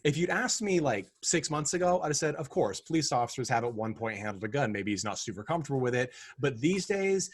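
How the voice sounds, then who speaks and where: A man's voice: mid-range voice, animated, fast talker, hardly any pauses, slightly rough. A man co-hosting a film podcast, talking casually with wry sarcasm. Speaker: podcast co-host. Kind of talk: casual film review chat.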